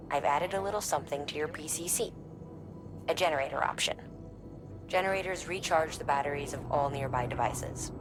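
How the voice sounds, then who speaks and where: An actress playing an encouraging, informal but businesslike logistics guide in a video game. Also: female voice, low-pitched, close to an everyday voice.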